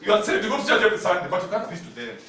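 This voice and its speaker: Kenyan man preaching, high voice, animated and laughing, booming echo, distant mic. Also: very fast. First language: Luhya. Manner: animated storytelling, laughing often. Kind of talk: sermon. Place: church hall. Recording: very echoey hall, from far off, noisy.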